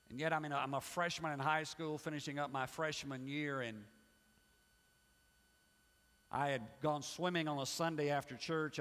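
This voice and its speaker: A middle-aged male pastor preaching a sermon, emphatic and swinging between loud pushes and hushed asides. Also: medium pitch. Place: church sanctuary. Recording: long hall reverb.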